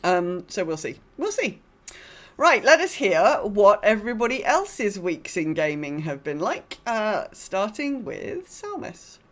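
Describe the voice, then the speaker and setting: A woman's voice: mid-range voice, very animated, unhurried, fairly bright tone. A woman co-hosting a podcast in casual chat about gaming, informal and rambling, with a self-deprecating streak. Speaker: podcast co-host.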